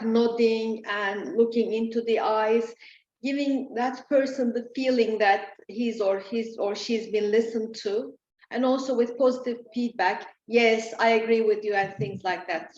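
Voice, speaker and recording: A woman taking part in a training session over a video call, answering in a thoughtful, explanatory way. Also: on the high side. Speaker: training participant. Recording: echoey, dull, faint noise.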